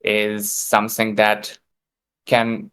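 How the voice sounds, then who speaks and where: A man from Ukraine, a game developer answering interview questions thoughtfully, with filler pauses. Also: on the low side, completely flat delivery, fairly bright tone, slight background noise.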